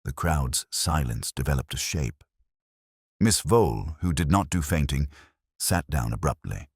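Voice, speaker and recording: Male audiobook narrator for literary crime fiction; rumbling bass, animated phrasing, close dry recording. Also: measured pace, breathy edge, dry recording. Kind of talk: scripted literary mystery narration.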